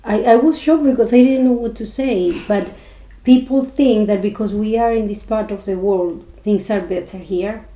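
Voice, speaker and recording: A woman's voice: mid-range voice, dark tone. Spanish-accented woman, echoey room. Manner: earnest, halting with restarts. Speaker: podcast co-host. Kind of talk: casual chat.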